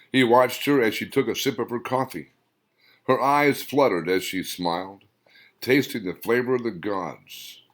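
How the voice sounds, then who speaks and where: Male author-narrator, deep voice with lively intonation, slow measured audiobook read. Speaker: author narrating his own novel.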